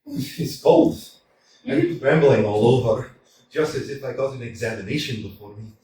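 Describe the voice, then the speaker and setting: A man's voice: mid-range voice, big pitch swings, moderate pace, mellow, natural voice. A man playing a flustered, stammering thirty-five-year-old suitor who worries about his health, in a staged play reading recorded from a distance in a roomy hall. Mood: anxious. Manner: stammering, flustered, nervous laughs. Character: nervous anxious 35-year-old suitor, hypochondriac.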